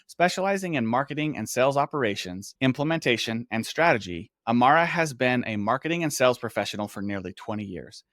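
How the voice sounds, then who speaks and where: A man's voice: mid-range voice, animated, fast, few pauses, fairly bright tone, no echo, studio quality. A man hosting a podcast, introducing and interviewing a guest. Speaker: podcast host.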